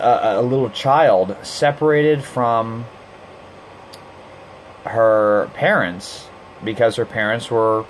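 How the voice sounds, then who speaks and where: A man's voice: medium pitch, slight background noise, poor audio. Blunt, heated man ranting casually. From Costa Rica. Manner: blunt, heated, swears freely, frequent restarts. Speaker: live stream host. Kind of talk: casual monologue.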